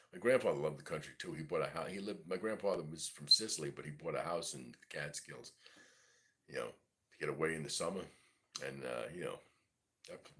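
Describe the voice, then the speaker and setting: Brooklyn NYC guy in his 40s, very deep gravelly voice, rambling profane streamer chat. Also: bright, forceful tone. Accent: New York City. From East New York, Brooklyn. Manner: rambling, profane, full of "you know". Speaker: livestream host. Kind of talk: casual rambling monologue. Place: home in Mississippi.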